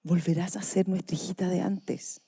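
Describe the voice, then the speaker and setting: A woman's voice: lowish voice, fairly even intonation, moderate pace, dark tone, slightly rough. A woman playing a protective, grieving mother pleading with her grown daughter in a dramatised radio drama reading. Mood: tender, protective.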